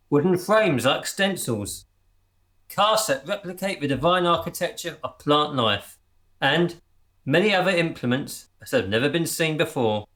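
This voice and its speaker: Man acting grandiose crew leader, pompous, impassioned speeches, lively swooping pitch, radio comedy. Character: grandiose male leader of amateur crop-circle crew.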